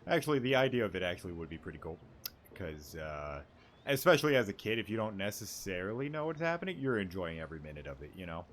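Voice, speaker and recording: A man's voice: low voice, very animated, fast talker, fairly bright tone, slight background noise. A young man giving casual, joking, offhand commentary as he plays, with game music behind him. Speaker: gaming streamer. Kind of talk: casual reaction commentary over gameplay.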